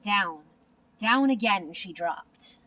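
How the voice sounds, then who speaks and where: A woman narrating a children's storybook in an expressive, deliberate manner. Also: medium pitch, distant mic. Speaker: storybook reader.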